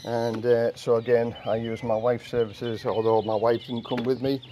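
Older Englishman chatting in a flat monotone. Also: medium pitch, normal speed, background noise. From England. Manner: rambling, chatty storytelling with fillers. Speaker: vlogger. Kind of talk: casual chat while washing a car. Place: outdoor carport of a house. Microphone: camera mic from a few meters away. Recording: outdoor camera audio with birdsong. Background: birds and rural outdoor ambience.

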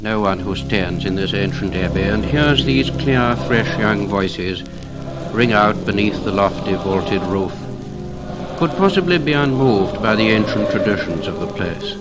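A man's voice: fairly low pitch, measured pace. A man with a British received pronunciation accent gives formal, lyrical 1950s documentary narration in a solemn, reverent manner. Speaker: narrator of a commemorative record. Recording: 1950 LP recording, music behind voice.

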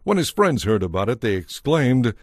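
A man's voice: mid-range voice, big pitch swings, moderate pace, dry recording, studio quality. A man narrating a dramatized audio Bible as a scripted story.

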